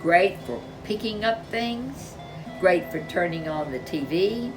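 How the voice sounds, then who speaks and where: A woman narrating a children's storybook in a deliberate, emphatic, expressive way. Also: on the low side, over music.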